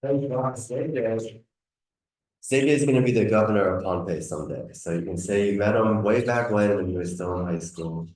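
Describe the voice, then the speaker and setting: A man's voice: on the low side, animated, brisk, darkish tone. Give me a male host calling students up to the stage, picked up from afar. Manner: friendly, joking introductions. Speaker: event host. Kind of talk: introducing speakers at a live event. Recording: roomy hall, voice from far off.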